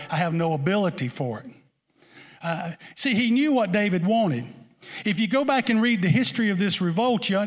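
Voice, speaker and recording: A man preaching to a congregation in a conversational way, repeating his points and putting questions to his listeners. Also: sits high. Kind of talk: sermon to a congregation.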